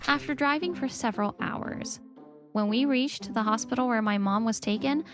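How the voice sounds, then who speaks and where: Protective young wife and mother, played by a woman, expressive, fairly high voice, scripted manga dub narration. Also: normal speed, music in the background, close to an everyday voice. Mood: earnest narration.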